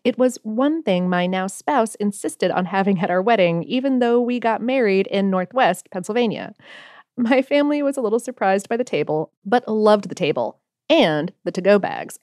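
A woman's voice: mid-range voice, expressive, normal speed, a little mellow, no room sound, clean studio sound. A woman co-hosting a podcast, chatting casually, laughing often and reading listener letters aloud. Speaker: podcast co-host.

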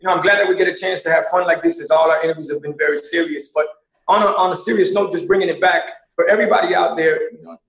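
A man's voice: a little high, steady tone, very fast, creaky, a bit bright, roomy sound, poor audio. A man guest speaking earnestly and motivationally, mixing in Spanish phrases. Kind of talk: casual chat turning to earnest appeal.

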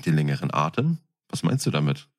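A man's voice: very deep voice, big pitch swings, a little fast. A man co-hosting a podcast, chatting casually in a playful, joking way. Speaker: podcast co-host. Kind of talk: casual chat.

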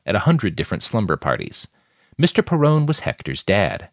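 A man narrates a novel in a low voice with animated, wide-ranging intonation. The scripted reading is recorded very dry, with no room sound. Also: dry recording. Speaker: author narrator.

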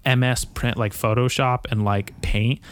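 A man's voice: medium pitch, fairly even intonation. A man co-hosting a podcast, teasing and swearing freely in casual conversation. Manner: teasing, profane, quick asides. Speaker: podcast co-host.

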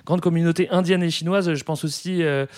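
Quick, slightly high-voiced man chatting. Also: fairly even intonation, darkish tone, no room sound, clean studio sound. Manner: chatty, adds asides and short agreements. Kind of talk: casual chat.

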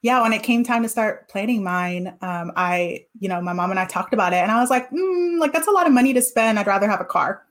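A woman's voice: mid-range voice, lively intonation, brisk, few pauses. A woman in her thirties, a guest in an interview, talking thoughtfully about her past with many fillers. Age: 30s. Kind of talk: reflective interview talk.

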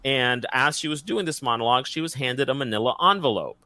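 A man's voice: medium pitch, animated, quick pace, bright, forceful tone, dry recording. A young man hosting a podcast fact-check segment, delivering it in an emphatic, sassy way. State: recovering from lost voice. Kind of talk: scripted segment read, lively.

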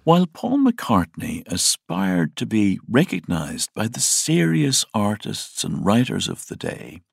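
Gravelly male narrator, very creaky. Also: fairly low pitch, huge pitch swings, measured pace, no room sound, clean studio sound. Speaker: documentary narrator. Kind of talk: scripted narration.

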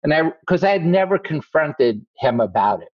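A man's voice: a little high, big pitch swings, moderate pace, dry recording. A man being interviewed about his book, telling blunt, wry stories from his family life and acting out what people said. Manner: wry, blunt, acts out quoted lines. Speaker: guest author. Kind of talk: candid conversational storytelling. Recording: slightly muffled dry recording.

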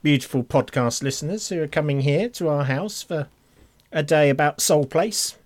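A man's voice: on the high side, moderate pace. A middle-aged man chatting casually as a podcast co-host, jokey and self-mocking. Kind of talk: casual chat.

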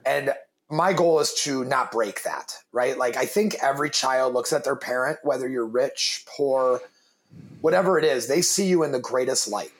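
A man in his thirties with a very deep voice, talking casually and emphatically in an interview, swearing freely and repeating words as he starts his thoughts. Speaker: guest, business founder and father.

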